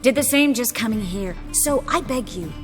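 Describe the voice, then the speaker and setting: An actress playing a world-weary young sorceress in a video game, speaking in a dry, measured, articulate way. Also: female voice, a little high, expressive, long reverb tail, music in the background, close to an everyday voice. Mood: dry, impatient.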